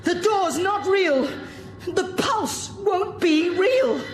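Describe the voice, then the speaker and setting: Bitter, high-voiced woman scientist, slow delivery. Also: lively intonation, exaggerated voice. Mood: anguished. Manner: sarcastic, anguished. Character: bitter, grieving woman scientist, sardonic and defiant. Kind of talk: radio drama acting. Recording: roomy echo with music behind.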